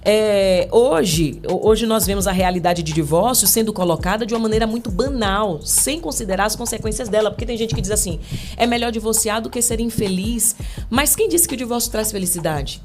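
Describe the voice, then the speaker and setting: A woman's voice: mid-range voice, fairly quick, over music. A woman in her thirties from Brazil, a guest on a podcast, talking earnestly about marriage and faith, with restarts and fillers. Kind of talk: podcast interview.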